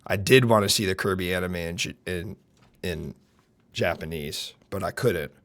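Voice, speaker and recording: A young man with a deep voice, streaming and chatting casually, loud and brash, swinging from shouts to mutters. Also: normal speed. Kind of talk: casual live-stream chat.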